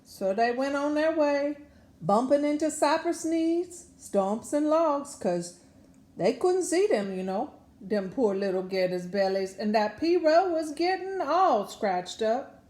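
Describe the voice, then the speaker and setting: A woman's voice: high-pitched, big pitch swings, slow, darkish tone. A woman storyteller reads to children. Her delivery is folksy and dramatic, with words drawn out for effect. The room adds a noticeable echo. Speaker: storyteller reading a children's book aloud. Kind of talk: animated Cajun folk storytelling. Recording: roomy echo around the voice.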